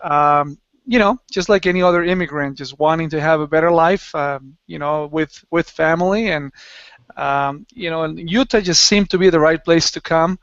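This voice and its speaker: A man's voice: on the high side, normal speed, fairly bright tone, smooth, no echo. A man from Colombia, a guest on a video call, chatting casually and laughing between phrases. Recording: muffled lo-fi video call audio.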